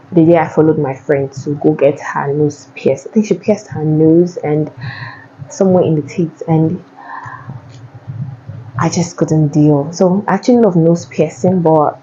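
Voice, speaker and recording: A woman's voice: deep voice, warm tone, highs cut off, a little hiss or hum. A young Nigerian woman with a Nigerian accent, answering viewer questions in a casual, chatty way and often repeating herself. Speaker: vlogger.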